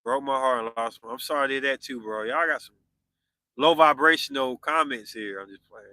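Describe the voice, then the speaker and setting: A man's voice: mid-range voice, fairly quick, bright, forceful tone, no room sound. A man in his forties hosting a podcast, chatting casually with live viewers and answering their comments. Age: 40s. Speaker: podcast host.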